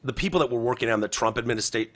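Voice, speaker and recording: A man's voice: mid-range voice, racing, a bit bright. A man co-hosting a podcast, chatting casually about politics, swearing freely and slipping into mocking impressions. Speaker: podcast co-host.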